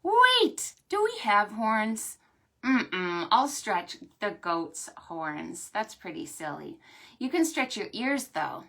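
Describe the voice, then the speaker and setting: A woman hosting a storytime for preschoolers, talking to small children in an animated, sing-song way. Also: mid-range voice, slow, vocal fry. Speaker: children's librarian and storytime host. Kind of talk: preschool storytime with stretches and songs.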